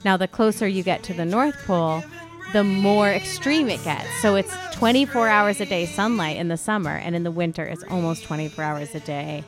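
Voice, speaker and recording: A woman's voice: fairly low pitch, brisk, music in the background. A woman co-hosting a podcast in casual, chatty banter, with an informal, exclamatory way of talking. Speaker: podcast co-host.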